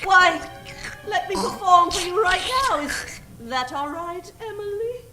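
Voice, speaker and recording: A woman's voice: very high-pitched, expressive, measured pace, soft background music, heavily acted. A woman playing a coy, melodramatic female octopus alien posing as a dancer in an old-time radio serial. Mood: sly, seductive. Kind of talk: old-time radio serial acting before a live audience. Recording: roomy stage recording with loud noise.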